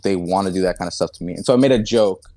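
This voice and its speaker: A man with a deep voice answering accusations haltingly, in a flat, even way of talking. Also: dry recording. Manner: hesitant, halting, monotone. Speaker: content creator answering accusations.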